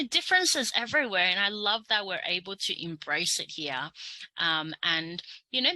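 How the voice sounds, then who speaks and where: A woman's voice: lowish voice, bright, forceful tone, dry recording, poor audio. A young Australian woman with an Australian accent, hosting a casual conversation, talking openly with frequent ums and restarts. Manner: chatty, with many fillers and restarts. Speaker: podcast host.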